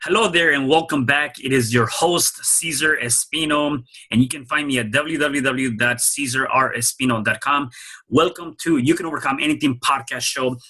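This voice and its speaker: A man's voice: mid-range voice, normal speed, pushed and bright. A man hosting an interview podcast, introducing his guest and asking questions with many fillers and restarts. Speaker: podcast host.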